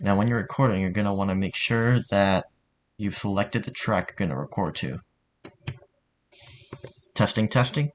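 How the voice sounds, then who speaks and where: Low-pitched man, casual tutorial narrator. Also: a little fast. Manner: informal, offhand, mildly sweary.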